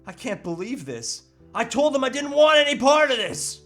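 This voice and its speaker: A man's voice: extremely high pitch, big pitch swings, moderate pace, a bit bright, faint music behind, heavily acted. A man acting a reluctant, overwhelmed family man in a comedic table read, hesitant and protesting.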